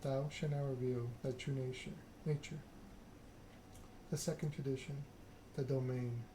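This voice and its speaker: A soft-spoken male game streamer talking in an ASMR style, measured and a little halting. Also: medium pitch, steady tone, noisy background.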